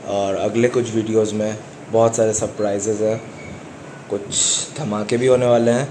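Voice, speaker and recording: A man's voice: lowish voice, little pitch movement, moderate pace, a little mellow, a little hiss or hum. A young man explaining chest exercises in a casual gym tutorial, speaking straight to his viewers like friends. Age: young adult. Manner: casual, instructive, addresses viewers as brothers. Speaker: fitness vlogger. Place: small indoor gym. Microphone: camera on a stand a few steps away. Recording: echoey gym room with music.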